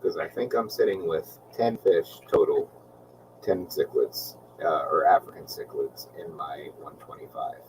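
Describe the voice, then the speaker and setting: A man with a low, soothing voice hosts a relaxed livestream chat. He rambles easily, with plenty of ums. He sits a little back from the mic, and a faint hiss runs under his voice. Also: unhurried, recorded from a distance. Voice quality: calm, relaxing voice. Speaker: aquarium channel host.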